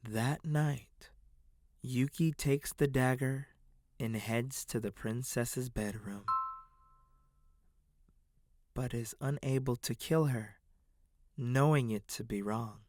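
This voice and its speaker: A man playing a solemn storyteller, giving measured, dramatic storybook narration for a fairy-tale stage play. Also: mid-range voice, a little mellow, lightly acted.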